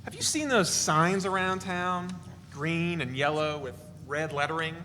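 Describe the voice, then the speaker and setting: A man reading a bright-voiced joker telling tall tales. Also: on the high side, measured pace, big-room sound, slight background noise. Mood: teasing. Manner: bright, teasing, rambling banter. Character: joking young man spinning tall tales at dinner. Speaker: reader in a staged reading.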